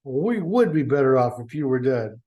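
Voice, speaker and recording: A man's voice: mid-range voice, very animated, normal speed, lo-fi sound, lightly acted. A man reading as a bitter fed-up teenage son.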